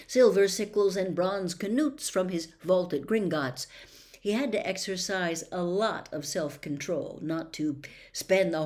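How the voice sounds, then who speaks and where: A woman reading a story aloud as expressive audiobook narration, with measured, dramatic phrasing. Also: mid-range voice. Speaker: storybook reader.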